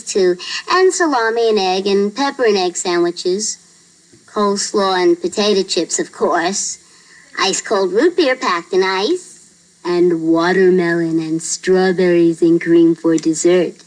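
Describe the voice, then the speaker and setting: A woman's voice: mid-range voice, poor audio. A woman voicing a matter-of-fact, bossy young girl in a children's storybook read-aloud.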